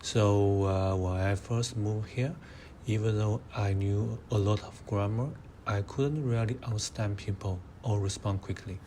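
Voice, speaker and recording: An eighteen-year-old man from China with a Mandarin accent, answering interview questions in a measured, hesitant way. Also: on the low side, fairly even intonation, warm tone, a little hiss or hum. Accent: Mandarin Chinese. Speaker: interviewee, high school student.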